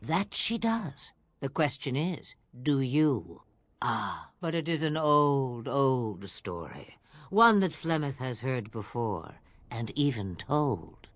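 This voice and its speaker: A woman's voice: low voice, no echo. An actress playing a sly, cryptic old witch in a video game, speaking theatrically in riddles. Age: older. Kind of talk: video game drama acting.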